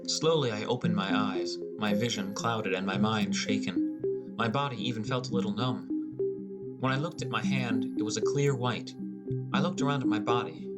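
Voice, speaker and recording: A man's voice: fairly low pitch, moderate pace. A man reading a first-person fantasy story as a scripted audiobook narration, in the role of a teenage gamer. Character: teenage boy gamer, gloomy and introspective. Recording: music under the voice. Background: prominent music.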